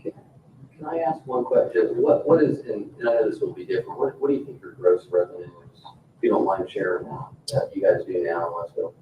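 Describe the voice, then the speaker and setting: Low-voiced man, conversational council member, far from mic, faint noise. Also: fairly even intonation, a little fast. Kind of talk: conversational questioning at a meeting. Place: indoor town council meeting room. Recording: thin phone-like echoey room, distant, noisy.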